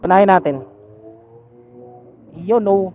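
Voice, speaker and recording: A man's voice: sits high, steady tone, slow pace. A man with a Manila Filipino accent chatting casually and informally to his viewers as a motorcycle vlogger. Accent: Filipino, Manila area. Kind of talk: casual vlog chat to viewers. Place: outdoors on a motorcycle in city streets. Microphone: helmet intercom linked to action camera. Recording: muffled helmet intercom mic, traffic noise. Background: faint vehicle and street noise.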